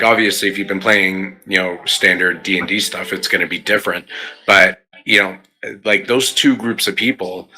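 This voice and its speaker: A man's voice: sits low, bright, forceful tone, rough recording. A man chatting casually as a panelist on a tabletop game podcast, his talk peppered with "like" and "you know". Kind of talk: casual chat.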